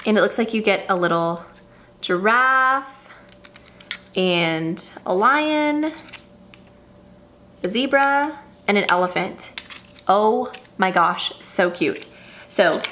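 A woman's voice: medium pitch, fairly bright tone, slight background noise. A woman in her thirties chatting through an unboxing in her home kitchen, upbeat and gushing over each item. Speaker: vlogger. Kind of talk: casual unboxing chat.

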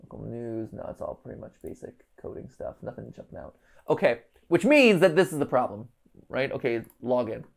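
Creaky-voiced man thinking aloud. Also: mid-range voice, very animated, a little fast. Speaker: livestream host. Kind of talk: casual live puzzle-solving commentary, thinking aloud. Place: indoor room, livestream set.

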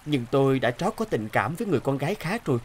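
A man voicing a brave, gentle young hunter in an animated fairy tale, earnest and protective. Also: mid-range voice, fast, a little hiss or hum, natural voice. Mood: sincere, loving. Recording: music behind the voice.

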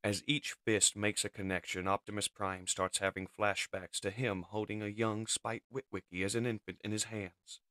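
A man's voice: fairly low pitch, flat delivery, moderate pace, few pauses, sharp tone, dry recording. A man narrating a comic book as a dramatic scripted reading. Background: music.

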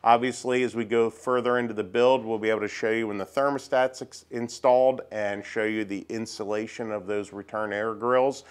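A man's voice: lowish voice, steady tone, moderate pace, hardly any pauses, clean tone. Middle-aged man explaining a building site. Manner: plain, practical explaining with fillers. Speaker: home builder show host. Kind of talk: casual walkthrough explanation. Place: unfinished wooden house under construction, indoors.

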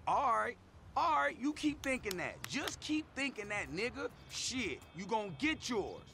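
A man's voice: very high voice, sing-song, unhurried, vocal fry, pushed and bright, slight background noise, full character voice. An actor playing a combative middle-aged American male ex-convict gangster in a video game cutscene. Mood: angry. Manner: combative, easily offended.

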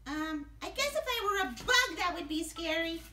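Casting: tiny whiny ghost kid, extremely high voice, swooping and excitable, for a children's puppet show. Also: male voice, normal speed, roomy sound, crowd noise. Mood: dismissive. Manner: whiny, exclaiming, repetitive.

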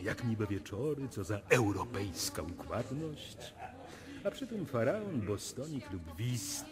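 An actor playing a self-satisfied, worldly gambling courtier with a suave, smug manner in a radio drama. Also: male voice, fairly low pitch, sing-song, takes it slowly, music in the background. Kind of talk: theatrical radio drama acting. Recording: reverberant hall, crowd behind.

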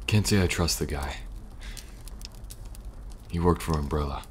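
A man playing a weary, protective young survivor agent in an ASMR audio roleplay, speaking softly and reassuringly, a little hesitant. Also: very deep voice, measured pace. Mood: wary, low-key. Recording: faint background noise and ambience.

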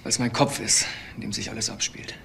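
An actor playing a talkative, philosophical young biology student in a crime drama, rambling and stammering, unfazed by an intruder. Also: male voice, fairly low pitch, steady tone, a little fast, vocal fry, a bit bright, a little hiss or hum. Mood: casual, musing. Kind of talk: naturalistic German crime drama acting.

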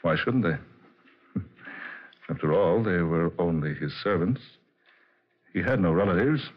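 Calculating attorney, low-voiced, measured and formal; muffled 1940s radio drama. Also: male voice, lively intonation. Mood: smug, casual. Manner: formal, measured, self-assured. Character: smooth-talking, calculating male attorney. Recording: muffled vintage radio recording.

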